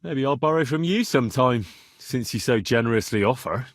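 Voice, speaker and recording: A man's voice: mid-range voice, lively intonation, brisk, no echo, clean studio sound, natural voice. A male actor playing a cheeky, blunt young swordsman who haggles cockily in a medieval video game. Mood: cocky bargaining. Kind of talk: video game character dialogue.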